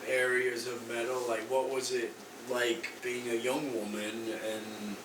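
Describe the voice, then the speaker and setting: A man's voice: mid-range voice, little pitch movement, a little slow. Hesitant man host, echoey room. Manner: hesitant, many "um" and restarts. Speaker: podcast host. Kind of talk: casual interview questions. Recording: echoey room, distant mic, noisy.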